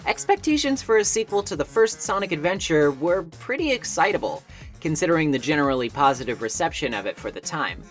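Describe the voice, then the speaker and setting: A man's voice: on the high side, very animated, fairly quick. Man narrating a video essay over music. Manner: articulate, wordy, wry asides.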